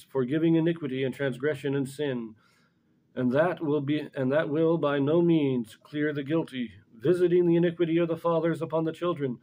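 A middle-aged man giving an informal religious lesson as a scripture commentator, explaining things deliberately and correcting himself as he goes. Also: on the high side, a little mellow, low-quality recording. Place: small indoor room in front of a blackboard.

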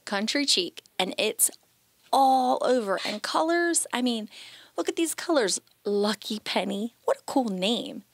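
High-voiced, enthusiastic American woman presenting. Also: dry recording. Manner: enthusiastic, chatty, exclamatory. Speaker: host of a local shopping show. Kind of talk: upbeat promotional presenting to camera. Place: small home decor shop, indoors. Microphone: handheld microphone.

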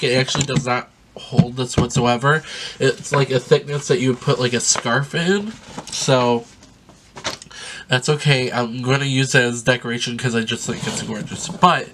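A man's voice: mid-range voice, background noise. A young man in his twenties, congested from a sinus infection, chatting enthusiastically and rambling with frequent ums as he unboxes a gift in a small bedroom, filmed close to the camera. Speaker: vlogger. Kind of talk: casual unboxing vlog.

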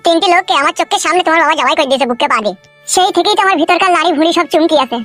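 A man's voice: very high voice, very fast, pushed and bright, soft background music. A man voicing a timid, simple-hearted henpecked husband in an amateur comedy cartoon, naive and fearful. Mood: resigned, fearful.

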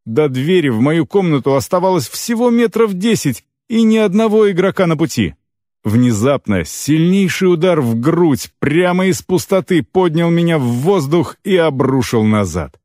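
A man reading a fantasy novel in the first person as an audiobook narrator, with an expressive, dramatic storytelling delivery. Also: fairly high pitch, no echo, studio quality.

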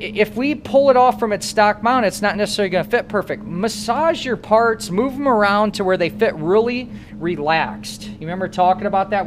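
A man's voice: sits high, background noise. A man in his thirties with a Midwestern American accent, teaching motorcycle repair hands-on and quizzing his students as he works. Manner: coaching, asks students questions. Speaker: motorcycle technician instructor. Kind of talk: hands-on shop demonstration.

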